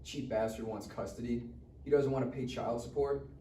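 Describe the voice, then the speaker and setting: A man's voice: medium pitch, steady tone, moderate pace, mellow, lightly acted. A man playing a cocky, bitter, foul-mouthed rich schoolboy with a sarcastic manner in a live table read. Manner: sarcastic, wisecracking. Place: live reading event in a room. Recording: echoey room, distant mic, faint noise.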